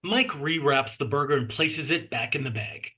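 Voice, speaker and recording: Bright-voiced man reading stage directions. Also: mid-range voice, moderate pace. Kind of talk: scripted narration at a table read.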